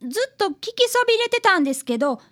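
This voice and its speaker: Lady doing a polite little kid detective boy, super high pitched, expressive, earnest, radio drama. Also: fast, heavily acted. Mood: polite, hesitant. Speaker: narrator and character in a radio drama. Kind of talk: Japanese radio drama acting.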